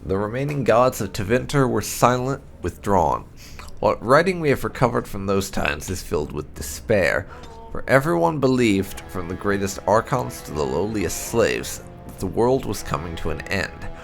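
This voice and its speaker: A low-pitched man narrates fantasy lore like a deliberate storyteller. His voice has a gravelly creak and rises and falls with lively, dramatic intonation. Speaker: gameplay channel host. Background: music.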